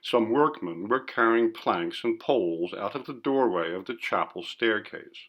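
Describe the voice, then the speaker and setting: A man's voice: fairly low pitch, animated, unhurried, dull audio. A man narrating a classic ghost story as an audiobook reader.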